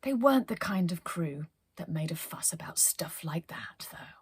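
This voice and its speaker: Woman reading a kids story, super lively up and down, bit husky, dry sound. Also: lowish voice, normal speed, no echo, in a whisper. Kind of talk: animated children's story narration.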